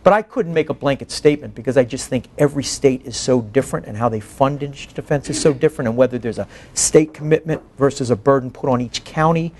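A man's voice: mid-range voice, fast talker, hardly any pauses, a little mellow, a little hiss or hum. A man in his forties giving a lecture in an earnest, emphatic way, tagging points with "right?". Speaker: guest lecturer, public defense advocate. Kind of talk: lecture to a faculty colloquium with questions. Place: meeting room, indoors.

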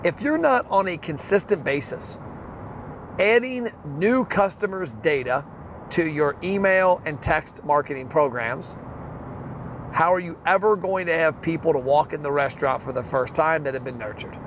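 A man with a fairly high voice hosts a podcast, blunt and fired up, cursing freely as he makes his point. He is recorded on the road, with faint traffic and car noise behind him. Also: expressive, normal speed. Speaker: podcast host. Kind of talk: casual solo podcast rant.

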